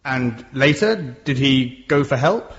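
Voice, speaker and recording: An actor playing a hesitant, formal Victorian lawyer, questioning in a British radio drama. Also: male voice, medium pitch, expressive, a bit bright. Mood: probing. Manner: formal, halting. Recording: muffled, noisy, clicking lo-fi recording.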